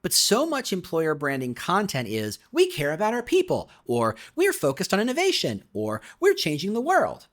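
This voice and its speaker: A man narrates a scripted explainer in a slightly high voice with lively, swinging intonation. He sounds conversational and emphatic, talking straight to the listener and leaning into rhetorical questions. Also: moderate pace, few pauses. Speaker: author reading own business audiobook.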